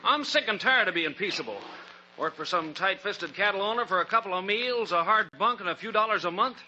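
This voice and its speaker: An actor playing a hot-headed, defiant young cowboy, a sheriff's wayward son, in an old radio western. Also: male voice, sits high, expressive, a little fast, bright, forceful tone, heavily acted. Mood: sullen. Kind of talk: 1940s radio western acting. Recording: muffled, noisy old radio recording.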